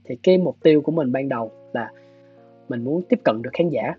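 Husky southern Vietnamese man explaining thoughtfully. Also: medium pitch, lively intonation, fairly quick. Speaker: guest, animation director. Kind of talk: explanatory interview talk. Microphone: video call. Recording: dull video-call audio, music behind. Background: soft plucked guitar music.

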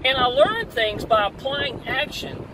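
A middle-aged man giving an energetic, preachy motivational talk to an online marketing audience while driving, repeating "y'all" often. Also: very high voice, unhurried. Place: open-top convertible car outdoors. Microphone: phone camera at arm's length. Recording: wind and road noise, mic at a distance.

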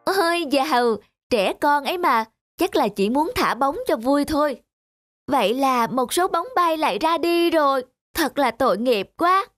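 A woman's voice: high-pitched, animated, measured pace, a bit bright, no echo, faint music behind. A woman voicing a chatty, gossipy little shop toy in a cartoon, knowing and pitying. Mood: dismissive, pitying. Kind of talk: cartoon dialogue.

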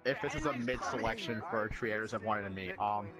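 A man's voice: lowish voice, brisk. A man in a game lobby chatting in a rambling, casual way, full of fillers. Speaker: player in lobby.

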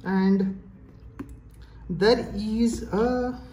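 A woman with an Indian accent giving a casual hands-on product demonstration in a halting, explanatory manner. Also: mid-range voice, fairly even intonation, mellow, slight background noise. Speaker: product reviewer. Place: indoors, tiled-floor room. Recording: echoey hard-floored room.

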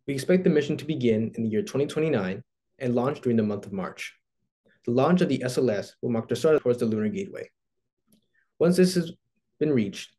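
A man's voice: medium pitch, mellow. A teenage boy from Texas giving a scripted school presentation over a video call, reading steadily from his notes. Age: teens. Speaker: student presenter.

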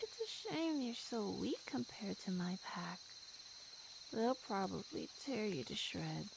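A woman voicing a lazy, possessive female tiger monster in an ASMR roleplay, with slow, purring, teasing speech. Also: medium pitch, sing-song, creaky, background noise.

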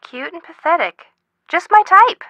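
A bright, excitable, high-voiced girl. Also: unhurried, dry recording, full character voice. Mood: excited, gushing. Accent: American. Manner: gushing, dramatic, girlish. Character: excitable, boy-crazy, gullible teenage girl, dramatic and chatty. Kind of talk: scripted video game voice acting.

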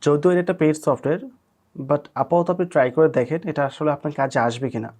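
A man hosting a software tutorial, explaining casually and mixing in English words. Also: on the high side, quick pace. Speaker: tech tutorial host. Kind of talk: screen-recorded software tutorial.

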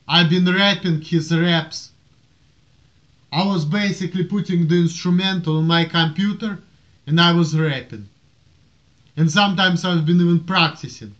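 A man in his thirties with a strong Russian accent, ranting casually to a webcam in a small room and waving his arms as he talks. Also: on the high side. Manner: animated, waves his arms, rambling restarts. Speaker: vlogger. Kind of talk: casual rant to camera. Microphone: webcam at desk. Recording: dull lo-fi webcam audio.

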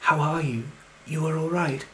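A man's voice: a little high, steady tone, moderate pace, pushed and bright, low-quality recording. A man playing a lovelorn, anxious, wry fellow who tells his own comic story in earnest, wordy scripted lines. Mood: hopeful, yearning. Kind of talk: scripted comic first-person narration and verse.

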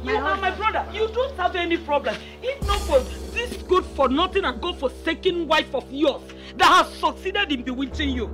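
A woman's voice: very high voice, animated, normal speed, hardly any pauses, over music. A woman playing a venomous, meddling sister in a film drama, with a Nigerian accent and a scornful, accusing manner. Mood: contemptuous.

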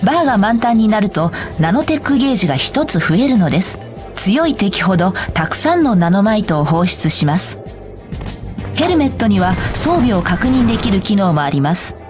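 A woman's voice: mid-range voice, very animated, moderate pace, a little mellow, faint music behind, close to an everyday voice. A woman voicing a polite, cheerful corporate guide, reading scripted tutorial narration for a game. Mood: polite, bright. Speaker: tutorial announcer.

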